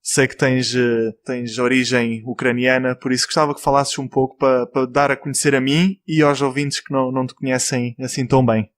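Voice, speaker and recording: A young man from France with a European Portuguese accent, hosting a casual podcast chat with a relaxed, chatty manner. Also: medium pitch, brisk, hardly any pauses. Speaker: podcast host.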